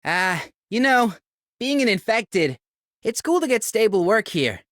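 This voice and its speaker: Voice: mid-range voice, big pitch swings, unhurried, fairly bright tone, no room sound, studio quality. A performer voicing a laid-back, self-mocking young operator in a video game, offhand and slangy. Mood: offhand, wry. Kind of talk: English video game character voice lines.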